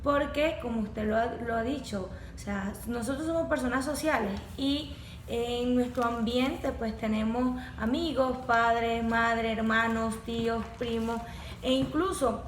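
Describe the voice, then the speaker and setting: A woman's voice: a little high, a little slow. A young woman, a course facilitator explaining neurodevelopmental conditions in a professional, clinical way. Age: young adult. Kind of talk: podcast conversation. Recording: echoey room with faint background noise.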